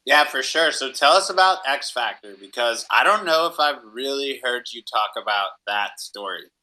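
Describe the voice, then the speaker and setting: A man's voice: medium pitch, lively intonation, moderate pace, pushed and bright, rough recording. A young adult man hosting a casual interview, agreeable and informal, sprinkling in "like" and "right?". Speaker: podcast host, interviewer.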